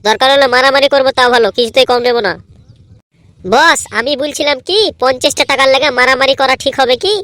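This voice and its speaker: Voice actor doing high fast cartoon boy, scrappy and argumentative, bit of noise behind. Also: dry recording. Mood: scheming. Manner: argumentative, excitable. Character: scrappy, quarrelsome young gang and club lads. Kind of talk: Bangla comedy cartoon dubbing.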